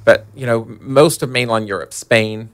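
A man's voice: medium pitch, normal speed, fairly bright tone. A middle-aged man with a Northern English accent, talking candidly as a guest travel agent in a casual interview. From Manchester, England.

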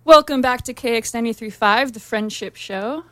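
A woman's voice: a little high, normal speed, fairly bright tone, no room sound, faint music behind. A woman hosting a radio talk show, upbeat, giving brief prompts and laughing easily. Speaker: radio host.

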